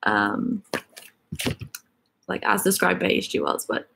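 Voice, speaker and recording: Woman chatting on a live stream, reads viewer questions out loud, lots of likes and ums, raspy low fry. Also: very animated, slow. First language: Egyptian Arabic. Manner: chatty, filler-heavy, reads questions aloud. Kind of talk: casual live-stream chat reading viewer comments.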